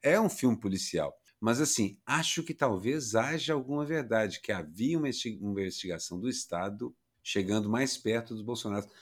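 A man's voice: fairly low pitch, lively intonation, brisk, few pauses, slightly rough. Man, from Minas Gerais, podcast co-host, reflective, casual.

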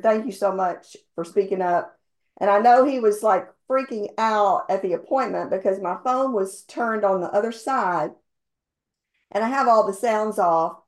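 A middle-aged woman, a bit hoarse from losing her voice, giving sales tips on a team call in an earnest, rambling way with many ums. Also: mid-range voice. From based in Houston, Texas. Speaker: insurance agency owner sharing sales tips. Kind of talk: motivational talk on a team call.

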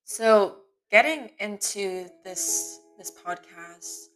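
A woman hosting her own podcast, talking off the cuff in a candid, rambling way, her voice sometimes smooth and sometimes raspy. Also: medium pitch, very slow, fairly bright tone, faint music behind, rough recording. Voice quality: voice shifts between smooth and raspy. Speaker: podcast host. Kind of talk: unscripted solo podcast monologue. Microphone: computer mic in web browser.

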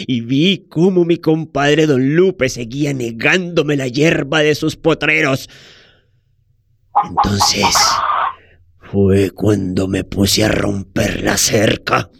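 An actor playing a weary old peasant father in a radio drama, haunted and self-justifying, speaking haltingly and ruminatively. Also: medium pitch, vocal fry, clean studio sound. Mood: bitter, defensive. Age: older. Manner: slow, halting, ruminative delivery. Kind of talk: dramatic monologue, radio play acting. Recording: roomy echo, faint music behind.

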